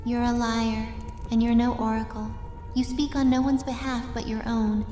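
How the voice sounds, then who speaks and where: A determined, earnest young witch from a fantasy audio drama, acted by a woman. Her grave, somewhat high voice echoes through a chamber with music underneath. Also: little pitch movement, moderate pace, warm tone, natural voice. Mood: accusing. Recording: echoing chamber reverb, music behind.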